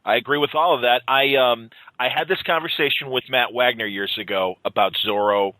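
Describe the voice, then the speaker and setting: A middle-aged man with a medium-pitched, crisp and bright voice, recorded dry. He hosts a casual interview and comes across as agreeable and attentive. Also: a little fast, no echo, poor audio. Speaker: podcast host, interviewer.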